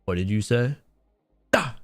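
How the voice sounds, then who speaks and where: A young man hosting and casting a live mobile game tournament, chatty and talking to his chat in a soothing, relaxed voice. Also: mid-range voice, a little slow, no echo, studio quality, soft background music. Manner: chatty, talks to chat, restarts words. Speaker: tournament host and caster. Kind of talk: live stream esports commentary.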